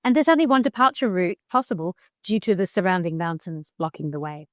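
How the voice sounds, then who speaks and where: A woman co-hosting a travel podcast, chatting in a lively, playful, emphatic way. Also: fairly low pitch, fairly quick, dry recording, clean studio sound. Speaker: podcast co-host. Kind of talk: casual chat.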